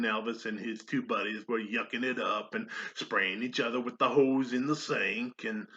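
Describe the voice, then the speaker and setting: A man playing a folksy army staff sergeant and mess cook, telling his story in a theatrical monologue with pauses and emphatic swells. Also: mid-range voice, fairly bright tone. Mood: wry, reminiscing. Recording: dull, lo-fi sound.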